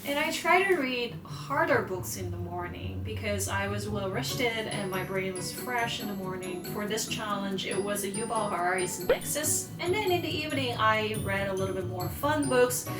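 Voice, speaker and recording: A woman's voice: mid-range voice, animated, moderate pace, few pauses, loud music behind the voice. A Korean woman with a Korean accent talking casually in English, her second language, thinking aloud as she works things out. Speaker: vlogger. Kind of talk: casual vlog talk. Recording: roomy, distant from mic.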